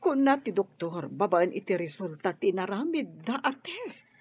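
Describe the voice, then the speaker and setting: A woman playing a kindly, concerned helper in a radio drama, with a husky voice. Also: mid-range voice, sing-song, moderate pace, soft background music. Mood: reassuring, concerned. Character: concerned, kindly woman caring for an injured girl. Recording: dull, muffled studio sound.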